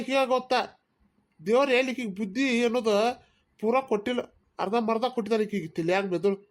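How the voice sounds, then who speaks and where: A woman's voice: a little high, lightly acted. Woman acting an exasperated, sarcastic village grumbler. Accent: North Karnataka. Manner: complaining, sarcastic. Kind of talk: cartoon comedy acting.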